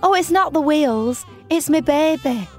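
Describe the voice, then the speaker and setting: A woman's voice: high-pitched, animated, soft background music, voice pushed up. A woman voicing a tired young mother, weary and fretful, drawing her words out. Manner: weary, fretful, slow and drawn-out.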